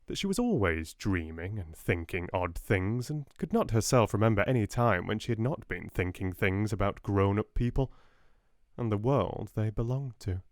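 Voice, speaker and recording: A soft-spoken man with a British accent, narrating an audiobook in a measured, restful bedtime style. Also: on the low side, lively intonation, no echo, studio quality.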